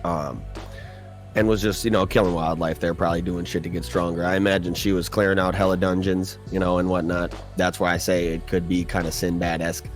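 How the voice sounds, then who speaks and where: A man's voice: low voice, level tone, a little fast, faint music behind. A man co-hosting a casual podcast, laid-back and rambling with frequent swearing. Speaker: podcast co-host. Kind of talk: casual chat.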